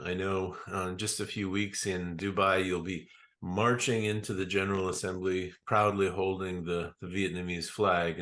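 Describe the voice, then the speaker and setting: A man hosting an interview, speaking in a halting way with many fillers and restarts. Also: deep voice, a bit bright. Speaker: interview host. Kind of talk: casual interview.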